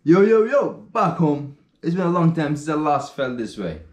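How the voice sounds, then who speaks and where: A young man vlogging casually to a camera held at arm's length, upbeat and chatty, swinging from loud hype to low mumbling. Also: big pitch swings, normal speed, reverberant, background noise. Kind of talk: casual vlog talk to camera. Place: small indoor room.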